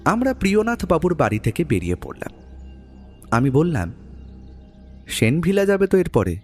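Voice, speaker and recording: Guy as detective's eager sidekick telling the story, high husky male voice, really animated, audio drama. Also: moderate pace, soft background music. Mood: narrating. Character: detective's male companion, eager narrator of their case. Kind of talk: dramatised first-person story narration.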